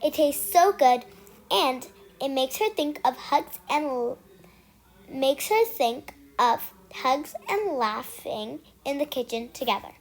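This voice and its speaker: A child with a high voice reads a picture book aloud at a slow pace. The reading is halting, with words repeated and corrected as it goes, in the way of an amateur read-aloud. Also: lively intonation.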